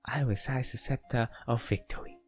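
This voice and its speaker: A man's voice: medium pitch, steady tone, normal speed, darkish tone, soft background music, close to an everyday voice. A man voicing a scheming, playfully formal schoolgirl in a visual novel read-aloud, with theatrical phrasing.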